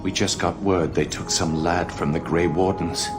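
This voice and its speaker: A man's voice: deep voice, steady tone, moderate pace, close to an everyday voice. A man playing an anxious, earnest rebel conspirator in a video game drama, pleading and defensive. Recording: loud music behind the voice.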